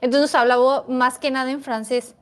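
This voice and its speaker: A woman's voice: sits high, flat delivery, moderate pace, smooth. A young woman, a language teaching student, talking over a class she watched in a reflective, hedging way with many fillers. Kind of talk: casual conversation analyzing a class.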